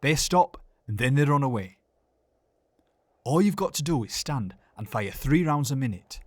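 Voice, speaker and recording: A man's voice: mid-range voice, expressive, moderate pace, no room sound, lightly acted. A man playing a steely soldier rousing his men, with a Yorkshire accent, in period war drama style.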